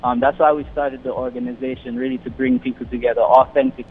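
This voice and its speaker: A man's voice: mid-range voice, fairly even intonation, quick pace, slight background noise. Man talking over a tinny phone line. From London and the Caribbean. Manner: rambling, many restarts and fillers. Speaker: radio guest, community organization founder. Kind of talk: casual interview over the phone.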